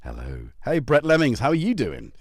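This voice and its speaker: Man, North Welsh accent, middle-aged, livestream host, casual and jokey, animated pitch, dry sound. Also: normal speed, husky, dry recording. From North Wales. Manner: chatty and jokey, greets viewers by name. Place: home.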